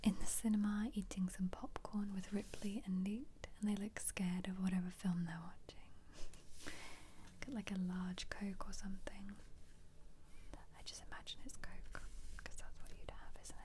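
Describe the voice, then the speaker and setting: A woman speaking in a breathy, unvoiced whisper close to the mic, gently musing in a casual ASMR commentary. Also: mid-range voice, level tone, measured pace. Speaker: ASMR video creator.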